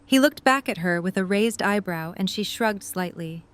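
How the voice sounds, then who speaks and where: A woman narrates a scripted story for an audio drama. Her voice sits at a middle pitch, describing the scene between the characters' lines. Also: expressive, normal speed, a bit bright.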